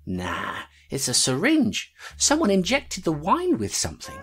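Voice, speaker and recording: A woman's voice: on the low side, huge pitch swings, unhurried, slightly rough, soft background music, heavily acted. A woman playing a determined constable who talks bluntly and reasons things out aloud.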